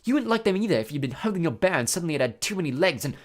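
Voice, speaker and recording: Hot-tempered schoolboy in a storybook, read by a narrator: tense, blunt, defensive, highly animated pitch. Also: fairly quick, slightly rough. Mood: fierce, defensive.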